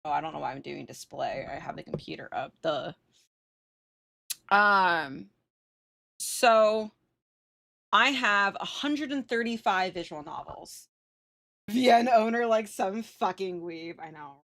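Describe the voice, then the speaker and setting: A woman's voice: lowish voice, expressive, slow pace, gravelly, pauses often, a bit bright. A woman livestreamer chatting casually with viewers in a slangy, sweary, self-teasing and exclaiming way. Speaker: live streamer.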